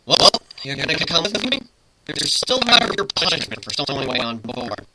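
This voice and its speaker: A man voicing a brash, commanding griffin ship's captain in a story reading, loud, theatrical and taunting. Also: a little high, sing-song, fairly quick, very noisy. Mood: irritated, bossy.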